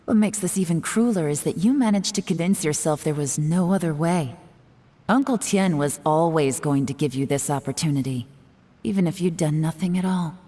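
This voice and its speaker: Game character: cool, taunting female operative, low register, controlled delivery, heavy reverb. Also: mellow. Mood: stern. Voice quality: low-pitched. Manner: measured, coolly taunting, controlled. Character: cool, calculating female intelligence agent, mocking and self-assured. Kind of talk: scripted game voice acting. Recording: long reverb, faint music behind.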